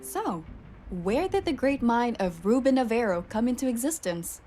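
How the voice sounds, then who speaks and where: A woman's voice: fairly high pitch, very animated, moderate pace, mellow, faint music behind. A woman voicing an earnest, probing journalist in a video game. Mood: curious, eager. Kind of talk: video game dialogue acting.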